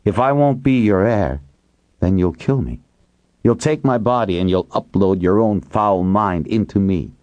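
A man's voice: lowish voice, lively intonation, a little slow, a little mellow, no room sound, muffled recording. A man voicing a wounded, defiant young man in an audiobook, bitter and accusing. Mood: bitter defiance. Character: wounded, defiant young man confronting his clone parent.

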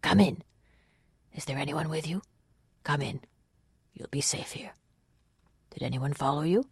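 A storybook narrator voicing a calm, kindly older lord, soft and peaceable, asking gentle questions without urgency. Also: mid-range voice, measured pace, vocal fry, breathy edge, dry recording, full character voice. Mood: calm, reassuring. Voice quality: soft, hushed.

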